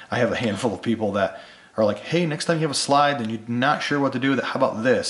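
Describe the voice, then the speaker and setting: Low-voiced man, under the weather, echoey room. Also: a bit bright. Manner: rambling, appreciative of viewers. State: voice worn from being sick, starting to give out. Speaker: small engraving business owner making videos for followers. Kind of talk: casual vlog talk to subscribers.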